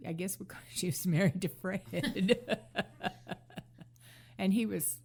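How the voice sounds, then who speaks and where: A woman's voice: mid-range voice, slow pace, gravelly, mellow, breathy edge. A woman co-host chatting casually about classic TV, often hesitating and repeating her phrases. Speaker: podcast co-host.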